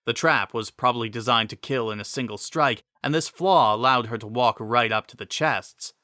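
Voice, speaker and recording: A man giving an expressive, emphatic dramatic narration of a scripted story. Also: mid-range voice, moderate pace, no echo, clean studio sound.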